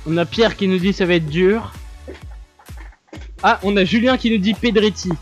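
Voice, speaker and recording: A man's voice: high voice, moderate pace, faint music behind. A man hosting a casual fan radio live stream, laughing and reading out viewer comments. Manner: laughing, playful, reads viewer comments aloud.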